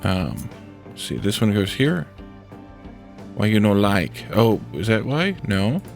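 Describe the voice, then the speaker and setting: A man's voice: fairly low pitch, very animated, slow, creaky, soft background music. A man with a congested head cold, casually thinking aloud as he streams a building game. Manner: thinking aloud, muttering to himself while building. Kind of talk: casual let's-play commentary.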